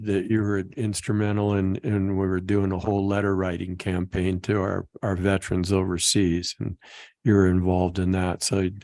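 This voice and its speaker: A man's voice: deep voice, darkish tone. A man chairing a city council meeting, speaking courteously and with appreciation. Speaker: council presiding officer.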